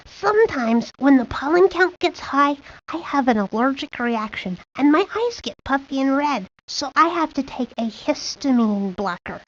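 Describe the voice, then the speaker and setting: A woman voicing a precocious, nerdy eight-year-old boy, pedantic and wordy, for a cartoon video game. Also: high-pitched. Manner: pedantic, wordy, self-assured. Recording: dull lo-fi 1990s game audio.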